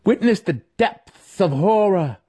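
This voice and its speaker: A man voicing a grim, fanatical female dark elf cleric in an imperious, declamatory way for a fantasy audiobook. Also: high-pitched, lively intonation, slow, slightly rough, voice pushed up, heavily acted. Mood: fierce. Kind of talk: dramatic fantasy audiobook character.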